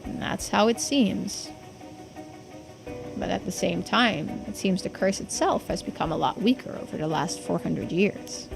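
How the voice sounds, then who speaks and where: A woman's voice: mid-range voice, animated, unhurried, gravelly, soft background music. A woman voicing a folksy, earnest islander who explains old secrets in video game dialogue. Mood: solemn, explanatory.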